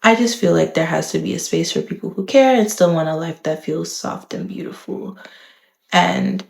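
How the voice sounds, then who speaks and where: Low, gravelly-voiced reflective woman. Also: a little mellow, reverberant. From the Bahamas. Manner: thoughtful, candid, measured. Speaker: vlogger. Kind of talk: reflective talk to camera.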